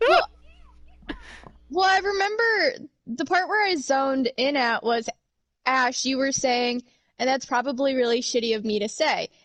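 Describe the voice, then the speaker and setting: A giggly young woman with a tiny, cute voice that sits very high and drawls along slowly. She chats casually, teases herself and swears without thinking. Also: expressive, a bit bright, no room sound. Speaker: co-host chatting during a gameplay stream. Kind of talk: casual chat.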